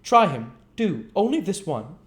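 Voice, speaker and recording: Young servant girl, fawning, coaxing, high-pitched, voiced by a man. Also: sing-song, a little slow. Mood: doting. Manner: coaxing, doting.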